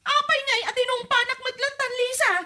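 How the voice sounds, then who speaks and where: A woman plays a gossiping neighbour in a comedy radio-style drama, extremely high and piercing. She whines and exclaims, cornered but defiant, with a bright, sharp edge. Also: flat delivery, fairly quick. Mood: indignant. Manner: exclaiming, whining. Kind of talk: comedy drama acting for a podcast.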